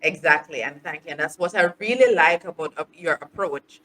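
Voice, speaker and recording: A woman from Jamaica, hosting a podcast interview in a measured, deliberate way. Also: low voice, a bit bright, rough recording. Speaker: podcast host, social work educator.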